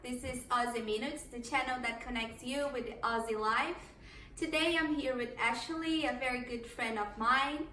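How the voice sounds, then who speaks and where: A woman's voice: fairly high pitch, fairly even intonation, moderate pace, bright, forceful tone. A woman from Brazil, a native Portuguese speaker, hosting a casual interview in English in a friendly, slightly hesitant way. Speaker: host. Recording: roomy echo, mic at a distance, faint background hum.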